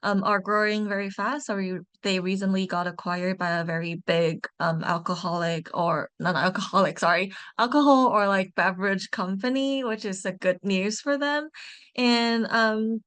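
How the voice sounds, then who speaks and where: A woman's voice: medium pitch, fairly even intonation, normal speed, a bit bright, clean tone. Upbeat young woman from Taiwan. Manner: chatty, upbeat, with small restarts. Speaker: MBA student ambassador. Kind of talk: panel self-introduction.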